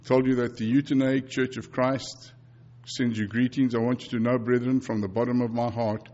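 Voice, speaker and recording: A man's voice: mid-range voice, flat delivery, moderate pace, darkish tone, lo-fi sound. Earnest South African preacher in his fifties. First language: Afrikaans. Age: 50s. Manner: earnest, repeats phrases, frequent restarts. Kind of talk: sermon and mission report.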